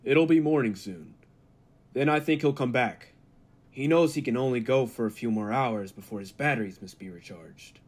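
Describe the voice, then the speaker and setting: An actor playing a grave, calculating laboratory assistant in an old-time radio drama, speaking formally with a husky voice. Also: male voice, medium pitch, normal speed, darkish tone, natural voice. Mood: grave, persuasive.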